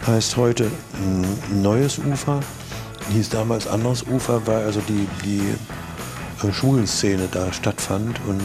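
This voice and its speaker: Hesitant lower-pitched man. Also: slow, over music. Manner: hesitant, searching for words. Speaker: interviewee. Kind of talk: casual, unscripted recollection.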